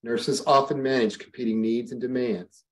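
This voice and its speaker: A man reading a prepared campaign speech as a board candidate, steady and serious. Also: medium pitch, moderate pace.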